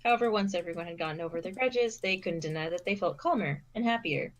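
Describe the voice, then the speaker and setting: A woman's voice: fairly low pitch, fast. A woman giving scripted narration as the narrator of an amateur fan fiction reading.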